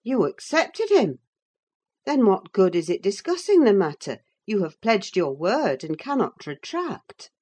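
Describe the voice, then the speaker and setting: A woman's voice: medium pitch, sing-song, unhurried, mellow, breathy edge, voice pushed up. A woman voicing a no-nonsense, sardonic female servant in a dramatised audiobook, dry, sententious and scolding. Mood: scornful. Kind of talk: dramatised audiobook reading of a classic novel.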